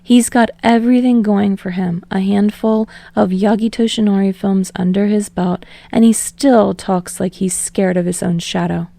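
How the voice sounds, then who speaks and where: A woman's voice: mid-range voice, steady tone, normal speed, gravelly, hardly any pauses, mellow, no room sound. A woman reading a story aloud as a scripted audiobook narration. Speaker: fan fiction audiobook narrator.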